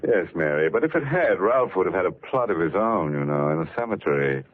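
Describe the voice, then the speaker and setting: An actor playing a ghoulishly jovial horror show host, sardonic and drawn out, with macabre glee. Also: male voice, sits low, animated, brisk. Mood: macabre amusement. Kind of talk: 1940s radio horror hosting. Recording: lo-fi vintage radio with music behind.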